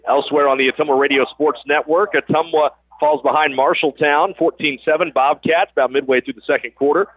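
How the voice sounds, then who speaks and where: A man doing live radio play-by-play of high school sports in a conversational announcer's style, on a broadcast mic. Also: fairly even intonation, a little fast, few pauses, no echo, phone-quality sound. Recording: band-limited radio broadcast sound, gym or field noise.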